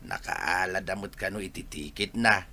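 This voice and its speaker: A man's voice: sits low, expressive, moderate pace, vocal fry, pushed and bright, a little hiss or hum, exaggerated voice. A man acting a gossipy, critical neighbour in an Ilocano radio drama. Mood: critical gossip.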